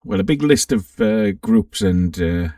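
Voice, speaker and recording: A man hosting a casual, informal software walkthrough for an amateur radio channel, thinking aloud a little hesitantly as he goes. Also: on the low side, lively intonation, measured pace, studio quality. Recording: roomy, distant microphone.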